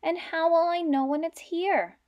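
A woman voicing an eager, excited young male bear cub in a children's storybook. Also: extremely high pitch, unhurried, dull audio, voice pushed up. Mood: curious. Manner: excited, squealing.

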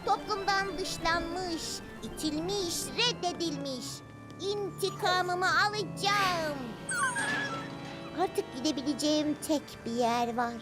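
A woman's voice: extremely high pitch, lively intonation, slow pace, loud music behind the voice, exaggerated voice. A woman voicing a sweet, emotional little superhero girl with a childlike, melodramatic delivery in a dubbed cartoon. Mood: darkly vengeful.

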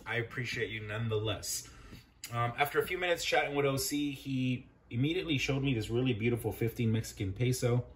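A man's voice: medium pitch, a little fast. A man hosting a casual vlog recap, talking informally and conversationally straight to his viewers. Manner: informal, conversational, addressing viewers as guys and buddy. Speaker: video host, coin collector.

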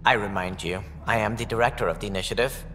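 A man voicing a calculating, self-important alien director in a video game, with a formal, measured, pompous manner. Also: lowish voice, pushed and bright, big-room sound, slight background noise. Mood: haughty.

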